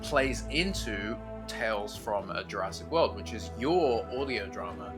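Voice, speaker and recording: A man's voice: on the low side, expressive, a little slow, music in the background. A man hosting a podcast in an informal, bantering way, chatting and putting questions to his guest. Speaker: podcast host. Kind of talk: casual, jokey podcast chat.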